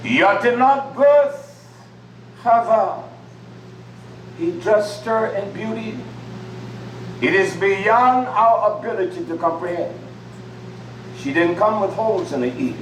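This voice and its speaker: Man, preacher, sermon, slow emphatic phrasing, distant mic, faint background noise. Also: sits high, animated. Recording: echoey room from far off with faint noise.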